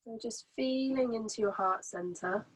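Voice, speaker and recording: A woman leading a guided relaxation as a meditation teacher, soothing and gently instructing in an unhurried way. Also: a little high, husky, rough recording.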